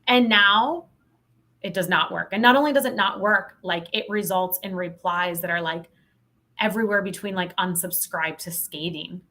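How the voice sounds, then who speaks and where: A woman's voice: on the low side, normal speed, sharp tone. A woman talking candidly and emphatically as a podcast guest, giving informal expert advice in a casual conversation.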